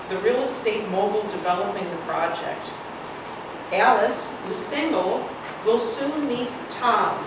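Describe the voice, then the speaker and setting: A woman presenter giving a prepared introduction to a screenplay reading. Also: medium pitch. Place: indoor reading hall, recorded from far off. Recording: echoey hall, distant mic, clear noise.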